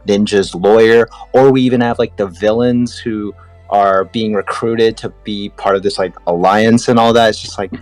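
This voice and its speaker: Low-pitched enthusiastic man chatting as a fan. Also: fairly even intonation, normal speed, darkish tone, faint music behind, rough recording. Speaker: podcast panelist. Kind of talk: casual fan discussion.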